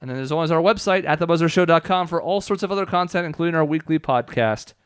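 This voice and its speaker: A man's voice: on the high side, fast, clear, resonant tone, no room sound, studio quality. A man giving casual, explanatory gameplay commentary as the host of a let's play.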